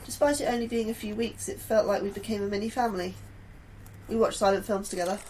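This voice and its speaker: A woman playing a gentle, anxious, nerdy scientist in an audio drama, speaking earnestly and a little hesitantly. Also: mid-range voice, fairly even intonation, fast, slight background noise, natural voice, poor audio. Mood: wistful, quiet. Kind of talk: naturalistic audio drama acting.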